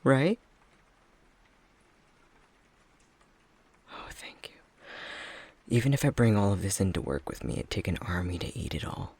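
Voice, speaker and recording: A man playing an affectionate, self-deprecating young fox-shifter boyfriend in an intimate ASMR roleplay, speaking softly and hesitantly, often half-whispered. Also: low voice, big pitch swings, a little fast, breathy edge, no echo, exaggerated voice. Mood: tender, sheepish.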